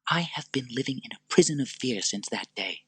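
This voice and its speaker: A man voicing a fearful noblewoman, speaking in a raised voice that stays soft and pleading. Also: mid-range voice, expressive, fairly quick, slightly rough, no room sound, voice pushed up.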